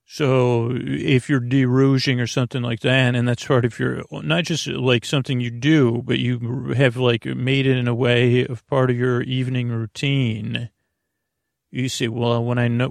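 Gravelly creaky guy doing a rambly sleep podcast, gentle n reassuring, keeps losing his thread, really dry close mic. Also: no room sound. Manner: meandering, halting, self-interrupting, trailing off mid-thought; gentle, reassuring, low-pressure. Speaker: podcast host. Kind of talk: rambling bedtime podcast monologue, casual.

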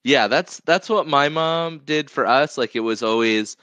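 A man's voice: medium pitch, unhurried, fairly bright tone, clear, resonant tone, no echo. A man co-hosting a podcast in casual conversation, talking in a rambling way and repeating phrases as he goes. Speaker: podcast co-host. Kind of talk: casual movie podcast chat.

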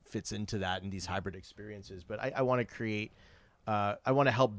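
A man's voice: fairly low pitch, fairly quick, fairly bright tone, no echo. A man guesting on a theater chat, earnest and thinking aloud with many restarts. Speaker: guest, theater company producer. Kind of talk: casual chat. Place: dark outdoor hollow at a theater venue.

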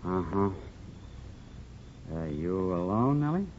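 An actor playing a smooth-talking but hesitant small-town man in a 1950s radio drama. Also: deep voice, animated, slow, a little mellow. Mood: casual, probing. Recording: dull, faintly noisy old radio recording.

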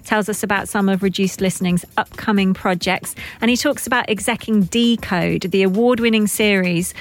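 A woman presents a podcast introduction. Her voice sits at an average pitch, and she sets out the episode as its co-host. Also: normal speed.

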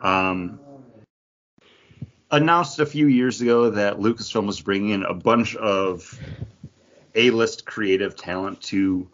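Lower-voiced American man in casual chat. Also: takes it slowly, long pauses, a bit bright, lo-fi sound, a little hiss or hum. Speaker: podcast co-host.

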